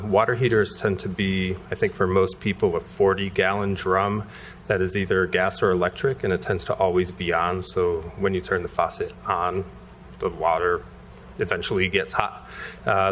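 Man, very low voice, audience question, polite, faint background noise. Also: fairly bright tone.

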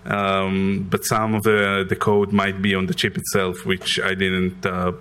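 A man with an Israeli accent answers audience questions after his conference talk. He speaks slowly in a lowish voice, pausing with ums and restarting his sentences. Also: completely flat delivery, a bit bright, a little hiss or hum. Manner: hesitant, many ums, restarts, light self-deprecating laughs.

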